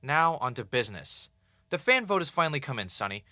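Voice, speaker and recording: A man acting as a wry, uneasy podcast host in an audio drama, with a casual, halting, conversational delivery. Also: mid-range voice, big pitch swings, pushed and bright, no room sound, clean studio sound. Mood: chatty, teasing. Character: Ray, wry, uneasy male podcaster investigating his own death.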